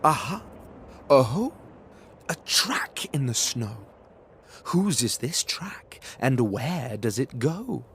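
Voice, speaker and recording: A man voicing a small, brave, curious young girl monster in a storybook reading, with excited exclamations. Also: medium pitch, slow pace, a little hiss or hum.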